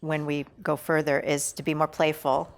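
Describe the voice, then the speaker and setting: A woman who is an actress talking in a casual group discussion, halting and tentative, often trailing off. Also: low voice, level tone.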